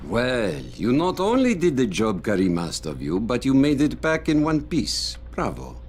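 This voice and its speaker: A man playing a menacing, grandiose crime boss in a video game, speaking in deliberate, measured, pompous phrases. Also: mid-range voice, wildly swooping pitch, noisy background. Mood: mocking approval. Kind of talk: video game cutscene acting.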